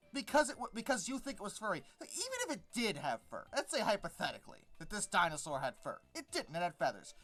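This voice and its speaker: Animated, exasperated woman commentator. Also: medium pitch. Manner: exasperated, emphatic, sarcastic; rambling, repeats words for emphasis. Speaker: video host and commentator. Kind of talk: casual unscripted commentary on an article.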